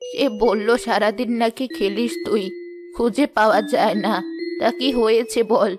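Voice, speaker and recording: A woman voicing a kindly, careworn village grandmother in an animated moral story, affectionate and gently scolding. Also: a little high, moderate pace, close to an everyday voice. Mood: teasing. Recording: music behind the voice.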